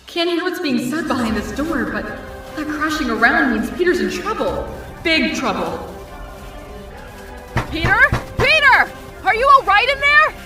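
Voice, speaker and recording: Woman acting anguished young woman, very high voice, widely swinging intonation, melodramatic comic dub, pleading manner. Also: big pitch swings, a little slow, over music. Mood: worried, frantic. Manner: emotional, pleading, dramatic. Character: young woman, superhero's anguished, worried close friend.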